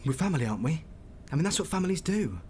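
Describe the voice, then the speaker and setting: An actor playing an evasive brother who pleads and justifies himself in a wheedling way in a radio soap. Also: male voice, fairly high pitch, sing-song, racing, darkish tone, a little hiss or hum. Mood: defensive, pleading. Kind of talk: naturalistic radio soap acting.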